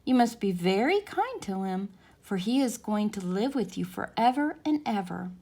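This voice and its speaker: Storybook fairy, read by a woman: tender, measured, kindly, reassuring, with a slightly creaky edge. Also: mid-range voice, big pitch swings, warm tone. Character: gentle nursery magic fairy, kindly and reassuring.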